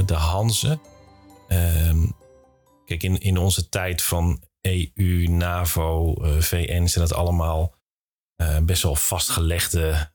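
A man's voice: deep voice, a little mellow. A man hosting a casual interview, asking questions in an informal, tentative way. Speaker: podcast host, interviewer.

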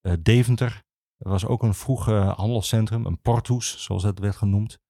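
A man explaining history as an interview guest, speaking haltingly with many filled pauses. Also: lowish voice, steady tone, warm tone, dry recording, clean studio sound. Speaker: archivist, podcast guest.

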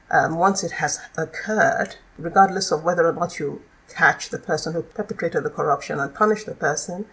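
A middle-aged woman with a Nigerian accent, a law lecturer explaining her work in a measured, articulate way as a podcast guest. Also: on the low side, a bit bright, poor audio. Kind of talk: podcast interview.

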